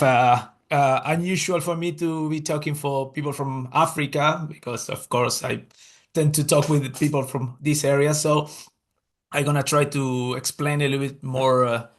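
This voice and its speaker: A man with an Argentine Spanish accent presents over a video call, his voice gravelly with fry at mid pitch. He speaks English as a second language and stumbles through fillers and repeated starts as he explains his work. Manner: halting, many fillers and restarts. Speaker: guest speaker, conservation scientist. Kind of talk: webinar presentation. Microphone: computer mic on a video call.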